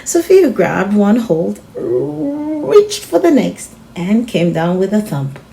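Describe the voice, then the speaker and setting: A woman reads a children's story with lively, swooping intonation at an unhurried pace. Her tone is soft-edged and mellow, and she addresses young listeners in an encouraging way. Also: medium pitch, gravelly. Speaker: children's read-aloud channel host. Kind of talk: expressive picture-book read-aloud for children.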